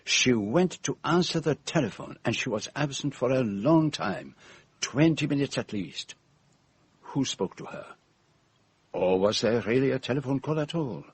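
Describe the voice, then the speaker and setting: An actor playing a shrewd, theatrical middle-aged detective with a Belgian French accent, speaking in formal, emphatic phrases in a radio drama. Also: male voice, medium pitch, animated, unhurried, husky. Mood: excited certainty. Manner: emphatic, precise, formal phrasing with rhetorical questions.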